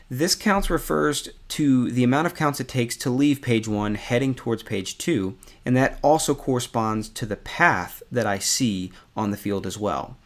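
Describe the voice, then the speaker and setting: Man walking through a software tutorial. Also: medium pitch. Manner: explanatory, conversational. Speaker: tutorial instructor. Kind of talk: step-by-step software tutorial narration.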